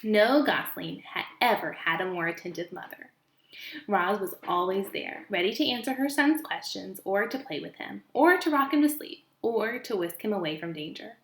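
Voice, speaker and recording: A woman reading a children's book aloud as an expressive storyteller, recorded in an echoey room. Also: mid-range voice, moderate pace.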